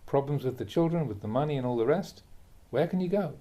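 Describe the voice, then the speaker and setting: A man, a Buddhist monk, reads a translated spiritual talk aloud in a measured, emphatic way, posing rhetorical questions. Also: medium pitch, lively intonation, dark tone. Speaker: Buddhist monk reading a teacher's talks aloud. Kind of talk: reading a translated Dharma talk aloud, with brief asides.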